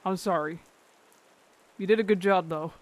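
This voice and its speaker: A man's voice: sits high, normal speed, close to an everyday voice. A man playing an earnest, caring young bird-folk rogue in an audio drama. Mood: concerned, gentle.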